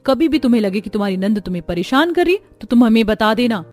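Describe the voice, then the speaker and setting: A woman's voice: on the high side, lively intonation, fast talker, darkish tone, close to an everyday voice. A woman voicing a protective young sister-in-law who gives urgent, concerned warnings in a cartoon family story. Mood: worried. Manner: urgent, cautioning.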